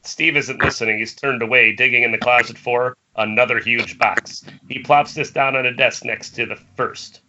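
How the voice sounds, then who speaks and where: A man's voice: medium pitch, steady tone, moderate pace, few pauses, sharp tone. A man narrating the stage directions of a screenplay in an amateur table read. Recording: dull, noisy internet call audio.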